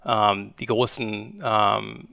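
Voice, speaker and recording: A man in his forties from Germany, a company co-founder giving an interview, who talks haltingly with many fillers and restarts. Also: lowish voice, vocal fry, sharp tone, no room sound. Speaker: guest, media company co-founder. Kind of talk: conversational interview.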